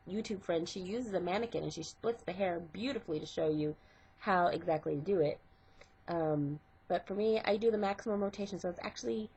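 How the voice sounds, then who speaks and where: A woman's voice: fairly low pitch, mellow, slightly rough. A middle-aged woman and hairstylist, congested from a cold, talking step by step through a casual hair tutorial. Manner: step-by-step, conversational, with fillers. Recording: dull lo-fi home recording.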